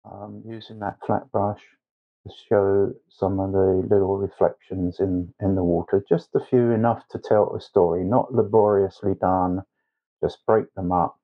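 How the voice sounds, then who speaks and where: Low-pitched man, unhurried painting tutor. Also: steady tone, phone-quality sound. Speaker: watercolour tutor. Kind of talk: instructional painting tutorial narration.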